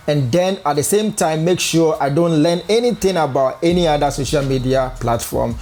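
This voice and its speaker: Ghanaian man, slightly high voice. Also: a little mellow. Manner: earnest, direct to camera. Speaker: content creator. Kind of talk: casual advice vlog. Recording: faint background music.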